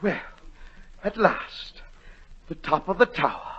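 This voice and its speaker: A man's voice: fairly high pitch, sing-song, takes it slowly, over loud music. A man playing a fretful, self-important king who dithers and commands theatrically in a 1940s radio play. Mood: pompous, dithering. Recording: dull 1940 radio recording.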